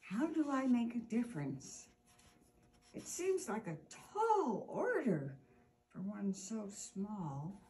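Slow, expressive older woman reading to children. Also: medium pitch, a little hiss or hum. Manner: deliberate, expressive, with drawn-out words for emphasis. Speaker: storytime host reading a picture book aloud. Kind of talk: children's storytime read-aloud. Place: indoor room with armchair.

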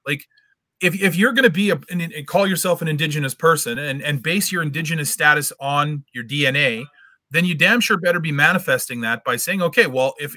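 A middle-aged man with a bright, slightly high voice presses his argument in an online debate. He lectures insistently and repeats words to hold the floor, heard dry over a home webcam call. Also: dry recording. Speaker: debate guest. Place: home room. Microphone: webcam video call.

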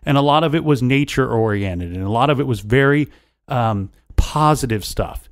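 A man's voice: medium pitch, animated, moderate pace, no room sound. Podcast co-host, man, easygoing, close dry mic. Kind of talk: casual true crime chat.

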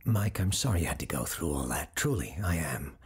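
A man's voice: extremely low pitch, sing-song, fairly quick, slightly rough, heavily acted. A man playing a cold, self-assured radio doctor. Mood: calm. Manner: clinical, self-assured, dismissive. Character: cold calculating male radio host doctor, supernatural expert. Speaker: radio host. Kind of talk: audio drama acting.

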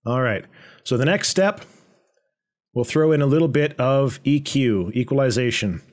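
A man presenting a software tutorial in a conversational, explanatory way with a medium-pitched voice. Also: moderate pace. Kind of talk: informal software tutorial narration.

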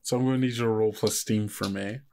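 A man narrating as game master in casual, improvised speech, thinking aloud in a halting way. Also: fairly low pitch, fairly even intonation, creaky, darkish tone.

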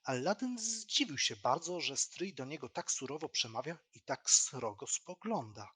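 A man narrates a fairy tale for an audio drama from a script. His voice is bright and forceful, with a husky grain. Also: a little high, expressive, moderate pace.

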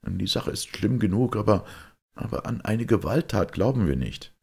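A man voicing an uneasy, earnest gentleman in an audiobook, hesitant and urgent. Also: lowish voice, animated, normal speed, vocal fry, slightly rough, pitched above the performer's own voice, heavily acted. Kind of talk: audiobook character voice.

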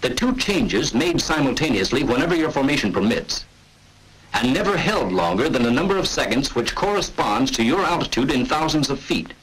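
A man giving scripted, measured and authoritative narration for a 1940s military training film. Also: medium pitch, big pitch swings, fairly bright tone, husky, poor audio.

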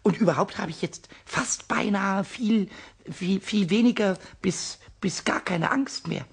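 A man's voice: measured pace, vocal fry. An actor playing a cheeky, mischievous little male kobold in a 1960s radio play, who chatters, stammers and repeats himself. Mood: tipsy. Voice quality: high squeaky voice, chatters and stammers.

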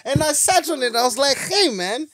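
A man's voice: very high voice, animated, fairly bright tone, dry recording. A young man, co-hosting a podcast, jokey and teasing in casual chat on a studio mic. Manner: jokey, quick to laugh, teasing. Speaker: podcast co-host. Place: small home studio. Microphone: studio mic on boom arm.

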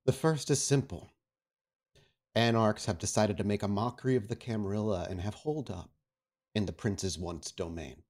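A man playing a stern, calculating vampire prince in a tabletop game, speaking formally and deliberately. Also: lowish voice, darkish tone, close to an everyday voice. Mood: cold, commanding. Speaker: storyteller playing a character.

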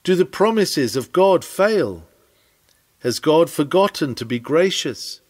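A man with a slightly high voice reads a nineteenth-century sermon aloud. His delivery is earnest and declamatory, in the manner of a preacher. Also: lively intonation, takes it slowly. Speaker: sermon reader.

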